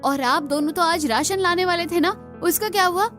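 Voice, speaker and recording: Woman as a high, earnest cartoon daughter-in-law. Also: normal speed, a bit bright, soft background music. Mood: indignant. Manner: polite, pleading. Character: poor, earnest young daughter-in-law, pleading and determined.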